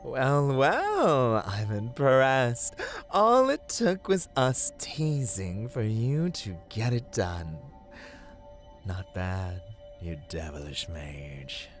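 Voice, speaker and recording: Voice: medium pitch, big pitch swings, faint music behind. An actor voicing a suave, dominant demon who flirts and teases in an ASMR roleplay. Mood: coaxing, impressed. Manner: slow, purring, teasing delivery.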